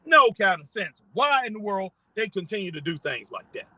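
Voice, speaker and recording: A man's voice: high-pitched, very animated, moderate pace, bright, forceful tone, dry recording. A man hosting a casual live stream from his car, rambling and chatting with viewers as he reads their comments.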